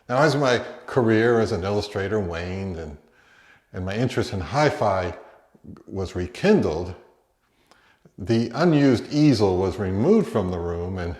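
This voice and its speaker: Middle-aged man, roomy echo, lowish voice, conversational vlog narration, measured explanations. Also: a little mellow. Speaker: hi-fi YouTuber. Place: indoors in a home room.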